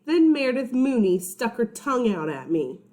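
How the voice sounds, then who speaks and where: A woman with a slightly high voice reads a children's chapter book aloud. She speaks informally as a teacher would to first graders, slipping in asides and checking that they follow. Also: big pitch swings, normal speed, mellow. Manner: informal, addresses young listeners with check-in questions. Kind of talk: animated children's read-aloud with chatty asides.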